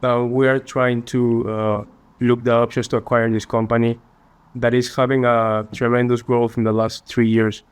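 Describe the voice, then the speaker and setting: A young man from Peru with a Peruvian Spanish accent, answering interview questions earnestly and a little haltingly. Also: mid-range voice, level tone, smooth. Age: young adult. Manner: earnest, polite, slightly halting phrasing. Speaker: finance student guest.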